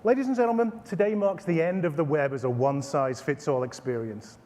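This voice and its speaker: A man's voice: a little high, quick pace, darkish tone. A man in his forties with a Texas accent, a company CEO giving a staged product demo with playful, showman-like asides. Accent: Texan. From Texas, USA. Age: 40s. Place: conference stage in a large hall. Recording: reverberant conference hall.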